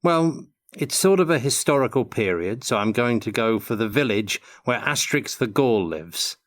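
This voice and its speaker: An older man giving measured, articulate answers as an interview guest in a prepared Q&A. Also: medium pitch, expressive. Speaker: interview guest, tabletop game designer.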